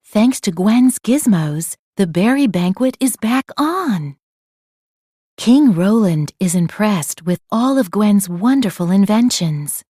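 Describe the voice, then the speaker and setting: A woman narrating a children's storybook aloud in an expressive style. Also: mid-range voice, slow, slightly rough, dry recording, clean studio sound.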